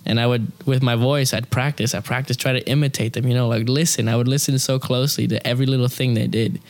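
A man's voice: medium pitch, fairly even intonation, quick pace. A young man who sings opera, talking casually in an interview, rambling with many fillers. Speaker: opera singer, interview guest.

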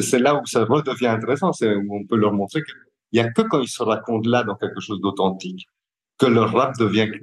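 A man with a Belgian French accent reflecting in an interview as a care worker, explaining hesitantly and searching for his words. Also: on the low side.

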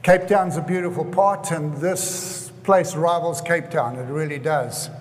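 Older South African male pastor, high-pitched, earnest sermon delivery with repetition; voice rings in a hall with long reverb. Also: a little slow. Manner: earnest, repeats phrases for emphasis.

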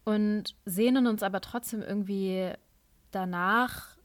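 Female podcast co-host, mid pitch, dry close sound, reflective casual chat. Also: steady tone, measured pace, dry recording. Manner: thoughtful, musing, filler-heavy.

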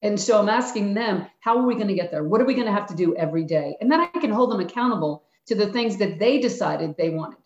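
A woman's voice: mid-range voice, animated, quick pace, few pauses, a little mellow. A woman, a retired basketball coach answering interview questions frankly and plainly. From Philadelphia. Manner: frank, plainspoken, acts out quotes of herself and players. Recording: echoey, dull-sounding room.

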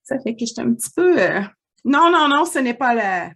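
A friendly woman with a Québécois accent co-hosting a relaxed podcast. Her voice is husky and a little congested from a cold, and she speaks playfully with hesitant fillers. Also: fairly high pitch, normal speed. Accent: Québécois French. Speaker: podcast co-host. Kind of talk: casual chat.

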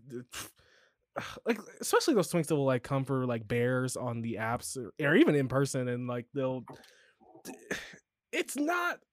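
A man from Chicago hosting a casual podcast chat, animated and swearing, his voice leaping high when riled. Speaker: podcast host.

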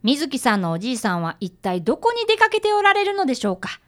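A woman's voice: high voice, huge pitch swings, quick pace, fairly bright tone, exaggerated voice. A woman playing a polite, eager young boy apprentice detective in a radio drama, earnest and boyish. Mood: earnest narrating.

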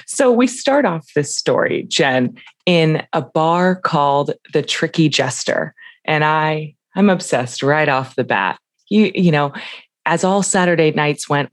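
A woman's voice: low voice, animated, a little slow, dry recording. Enthusiastic woman talking about books. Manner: enthusiastic, reads quotes aloud. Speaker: podcast co-host. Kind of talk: casual literary discussion.

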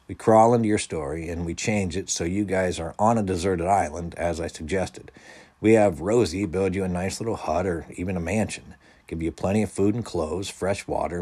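A man voicing an earnest boy with plans to pitch, explaining persuasively in a storybook reading. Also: darkish tone, husky. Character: earnest, scheming boy, eager to persuade his friends.

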